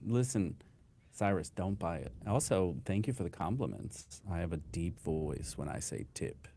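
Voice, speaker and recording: A man's voice: sits low, expressive, moderate pace, gravelly, mellow. A male podcast co-host in casual chat, making rambling joking asides.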